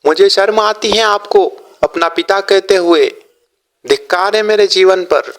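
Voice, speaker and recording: A merchant's honest son in a cartoon moral tale, played by a male narrator. The voice is high and insistent. He scolds with emphatic, righteous reproach. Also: measured pace. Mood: indignant reproach.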